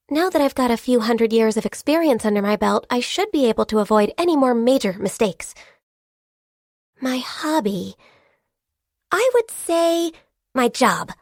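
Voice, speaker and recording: Game casting: youthful, high-voiced half-Qilin girl, centuries old, shy, polite and diligent, dry studio sound. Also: no room sound. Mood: grateful, then earnest, puzzled. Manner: soft-spoken, polite, earnest, formal wording. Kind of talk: video game character voice lines.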